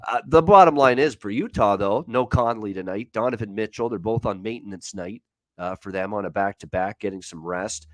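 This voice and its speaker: A man's voice: lowish voice, brisk, dry recording, poor audio. A man hosting a sports betting show, giving his opinions in casual, conversational commentary.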